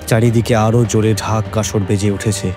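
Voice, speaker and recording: Low-pitched Bengali male horror narrator. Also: flat delivery, normal speed, music in the background. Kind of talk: scripted horror story narration.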